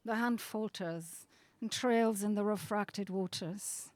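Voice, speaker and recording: A woman speaking to a poetry event as translator and reader, with deliberate, dramatic phrasing. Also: medium pitch, darkish tone, dry recording. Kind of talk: introduction and expressive poetry reading. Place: outdoors at a gallery event.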